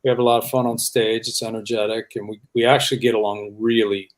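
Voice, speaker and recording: A man, a rock bassist, talking casually as a guest in an interview. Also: level tone, fast.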